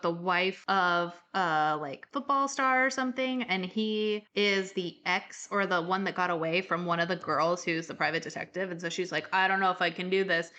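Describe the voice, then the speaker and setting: Chatty woman podcast host, bright forward voice bit on the low side, into her books. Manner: chatty, enthusiastic. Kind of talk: casual book chat.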